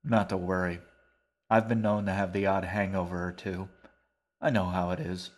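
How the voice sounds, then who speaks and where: A man voicing a genial, wordy older gentleman in an audiobook, with formal, long-winded speech. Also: sits low, level tone, normal speed. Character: genial old bearded man, wordy and grand. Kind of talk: audiobook character voice.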